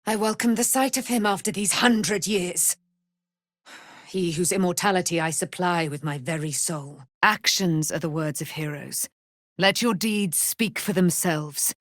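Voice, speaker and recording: A woman playing a slow, grand immortal warrior woman. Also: on the low side, no room sound, clean studio sound, close to an everyday voice. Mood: grave, proud. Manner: grand, solemn, formal archaic phrasing. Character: proud immortal warrior woman, noble holy daughter of a moon goddess. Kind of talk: theatrical fantasy game voice acting.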